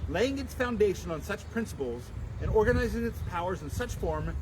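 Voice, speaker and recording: A man giving a dramatic, declamatory public reading of a historical document in deliberate oratory, outdoors. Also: high-pitched, animated. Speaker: public reader at a commemoration. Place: outdoors at a memorial site. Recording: noisy outdoor live stream, traffic.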